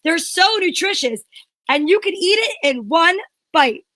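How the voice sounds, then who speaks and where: A woman's voice: extremely high pitch, expressive, measured pace, bright, forceful tone, no echo. A woman performing a mock commercial in a playful, salesy style on an amateur show.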